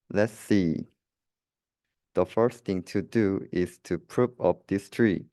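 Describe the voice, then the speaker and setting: A young man reading a children's rhyming story aloud in a careful, slightly halting manner. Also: low voice, mellow, clean tone, dry recording, studio quality. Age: young adult. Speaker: student reader.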